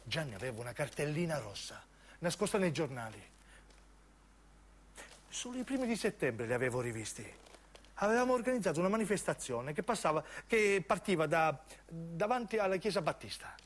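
High-pitched southern Italian man, dramatic storyteller. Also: sing-song, a little fast, slight background noise. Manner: emphatic, incantatory repetition, acting out the characters as he tells. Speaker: narrating actor. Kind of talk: theatrical dramatic monologue storytelling for radio.

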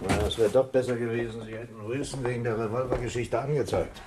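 An actor playing a gruff, impatient, sceptical police chief in a radio crime drama, with a brusque manner. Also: male voice, mid-range voice, fairly even intonation, fast, lightly acted. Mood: reproachful. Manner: brusque, reproachful, incredulous. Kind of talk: 1970s German radio crime drama dialogue. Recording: roomy echo, clearly noisy radio recording.

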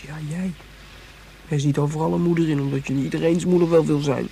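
Actor as a pushy young boy in a sci-fi radio play, talks fast, soft mellow tone. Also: mid-range voice, steady tone, gravelly, close to an everyday voice. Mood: cheeky, blunt. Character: impatient, outspoken young boy, eager to act. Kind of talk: Dutch radio drama acting. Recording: slightly noisy radio play recording.

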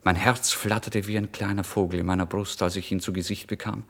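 Deep voiced broke starving writer guy narrating his own story, intense radio drama style, very emotional. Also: little pitch movement, brisk. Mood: brooding, tense. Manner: theatrical, intense, swinging between hushed and exclamatory. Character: starving, penniless male writer, overwrought and emotional, recounting his misery. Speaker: first-person narrator. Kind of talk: dramatic literary reading for German radio drama.

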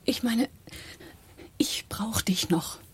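A woman's voice: fairly high pitch, slow, slightly rough, whispered, heavily acted. An actress playing a woman on the run in a radio drama thriller. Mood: anxious, hushed. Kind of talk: radio drama dialogue and narration.

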